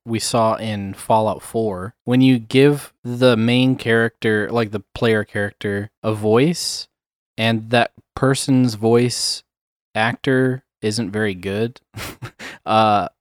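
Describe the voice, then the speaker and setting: Man, low voice, dry sound, rambling casual host. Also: steady tone, slow, no echo. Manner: rambling, many fillers, chuckles often. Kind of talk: casual chat.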